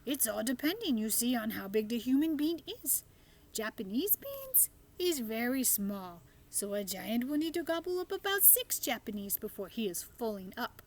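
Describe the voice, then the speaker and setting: A woman's voice: fairly high pitch, sing-song, moderate pace. Woman putting on a homely, word-mangling friendly giant. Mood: explaining. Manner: garbled folksy grammar with made-up words. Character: big friendly giant, male, homely, mangling his words.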